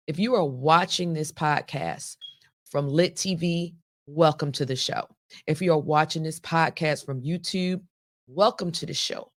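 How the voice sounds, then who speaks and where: Low, raspy woman podcast host. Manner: emphatic, motivational, folksy direct address. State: hoarse from laryngitis. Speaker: podcast host and business coach. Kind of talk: upbeat podcast monologue to listeners.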